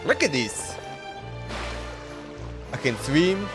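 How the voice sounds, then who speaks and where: Slovenian guy streaming, chatty and loose, slightly high voice, bit of music behind. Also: very animated, extremely slow. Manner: casual, chatty, talks to chat while playing. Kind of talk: live gameplay commentary.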